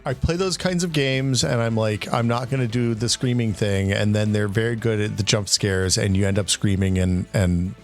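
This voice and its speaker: A slightly hoarse man co-hosting a tech podcast, musing aloud in halting questions full of restarts. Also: fairly low pitch, steady tone, soft background music. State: a little hoarse. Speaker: podcast co-host. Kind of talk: casual tech chat.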